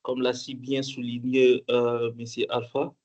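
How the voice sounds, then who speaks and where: A man's voice: medium pitch, completely flat delivery, a little slow, darkish tone. A young man from the Congo giving a talk at an online conference, hesitant with many fillers and restarts, repeating points for emphasis. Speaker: conference speaker. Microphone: online call mic.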